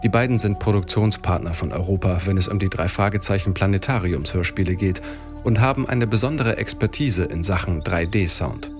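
A man's voice: deep voice, fairly quick, over music. A man presenting an audio feature in scripted narration.

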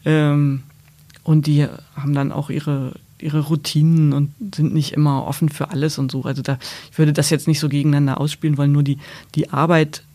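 A woman guest talks about her work as a sports journalist. Her delivery is level and unvaried in pitch, and she thinks aloud, pausing and restarting her sentences as she goes. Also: flat delivery, warm tone. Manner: thoughtful, hesitant restarts. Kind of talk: podcast interview answers.

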